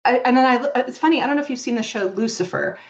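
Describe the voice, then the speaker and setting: A woman's voice: fairly high pitch, quick pace, highs cut off. A woman speaking as a podcast guest in a casual, rambling, opinionated conversational style. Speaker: podcast guest, fan fiction author.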